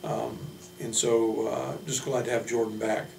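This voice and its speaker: A man speaking as a basketball coach, giving informal player introductions in a measured, hesitant way with frequent pauses. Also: medium pitch, little pitch movement, gravelly, darkish tone. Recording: roomy, distant mic, faint hum.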